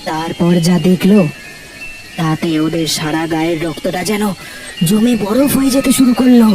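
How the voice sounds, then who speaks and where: A man with a high-pitched voice tells a scary story in a dramatic, scripted manner. He sounds set back from the microphone. Clear music runs behind him. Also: normal speed, far from the mic.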